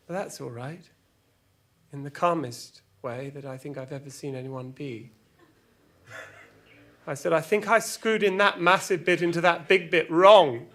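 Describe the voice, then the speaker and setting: A man's voice: fairly high pitch, fairly bright tone. A man acting a wistful, hesitant character who falters through a tender memory in an audio drama monologue. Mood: rueful amusement. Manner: hesitant, halting.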